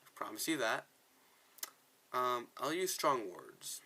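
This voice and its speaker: Bright, forceful male gamer commentary. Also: medium pitch, sing-song, slow pace. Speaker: gaming video creator, player. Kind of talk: casual game commentary with dialogue read aloud.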